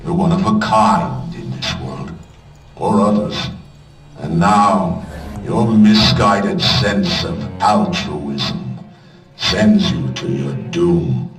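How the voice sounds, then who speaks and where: An actor playing a coldly condescending, eloquent businessman in a video game, speaking formally and deliberately. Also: low voice, lively intonation. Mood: condescending admiration. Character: smooth condescending businessman, coldly admiring, grandly intellectual. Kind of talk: video game dramatic dialogue. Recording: roomy, distant, loud music behind.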